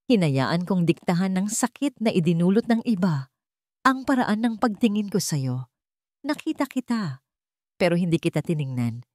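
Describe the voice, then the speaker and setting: A woman's voice: on the low side, a little mellow, dry recording, studio quality. A woman voicing a remorseful, desperate wealthy man who pleads earnestly in a dramatic storybook.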